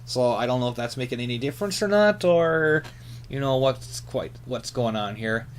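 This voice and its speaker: A man's voice: mid-range voice, lively intonation, normal speed. A man giving a casual, off-the-cuff hobbyist demonstration, hesitant and often muttering to himself as he thinks aloud. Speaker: amateur radio hobbyist demonstrating antennas. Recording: clear background hum and noise.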